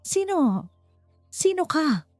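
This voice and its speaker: A woman's voice: high voice, big pitch swings, slow pace, faint music behind, voice pushed up, heavily acted. Woman doing a hot-headed Filipino male thug. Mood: terrified. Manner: sneering, blustering.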